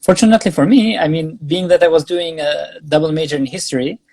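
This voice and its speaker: A young Albanian man speaking English with an Albanian accent as a guest in a casual interview, pausing often with fillers and restarts. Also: a little high, fairly even intonation, darkish tone, dry recording. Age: young adult. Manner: halting, many fillers and restarts. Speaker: guest, graduate student.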